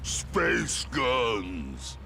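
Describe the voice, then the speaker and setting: An actor playing a huge slow-witted mutant brute in a video game, growling in halting broken grammar. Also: male voice, medium pitch, huge pitch swings, raised voice, full character voice. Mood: gruff. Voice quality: gravelly growl. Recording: game music behind the voice.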